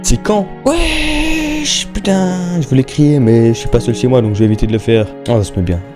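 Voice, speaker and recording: A man's voice: medium pitch, very animated, normal speed, over music. A young man giving casual, slangy Minecraft let's-play commentary, chatting and thinking aloud as he plays. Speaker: gaming YouTuber.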